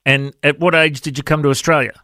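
A man's voice: on the high side, fairly even intonation, quick pace, sharp tone, no echo, clean studio sound. A man hosting a radio interview who asks friendly questions and uses a lot of fillers. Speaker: radio host.

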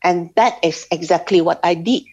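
A woman from Singapore telling her personal faith story as an interview guest, speaking haltingly with many pauses and restarts. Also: lowish voice, mellow. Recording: slightly dull lo-fi sound.

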